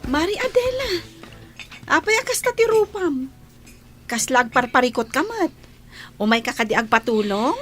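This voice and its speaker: Actress as churchgoing village woman, Ilocano accent, high lively voice, earnest, admonishing, radio drama. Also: normal speed, long pauses, slightly rough, faint music behind, heavily acted. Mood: concerned, consoling. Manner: earnest, moralizing, expressive. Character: pious, caring village neighbour woman who preaches and admonishes. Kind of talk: Filipino comedy radio drama acting.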